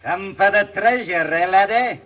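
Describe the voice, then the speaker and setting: An actor playing a sly, greedy old ghostly sea dog with stage pirate dialect in an old radio drama. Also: male voice, high voice, a bit bright, soft background music. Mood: sly gloating. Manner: cackling, archaic sailor talk, drawn-out delivery. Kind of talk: old-time radio acting. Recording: muffled vintage radio recording.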